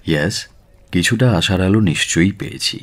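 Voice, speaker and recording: A man playing a calm, self-assured gentleman detective in a radio drama, reasoning in a measured, persuasive way. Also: deep voice. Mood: composed. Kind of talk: Bengali radio drama acting.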